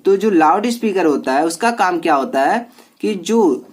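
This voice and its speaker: A man's voice: high-pitched, lively intonation, moderate pace. A man giving an informal electronics lecture, explaining step by step and repeating points with frequent check-in tags. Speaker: electronics teacher.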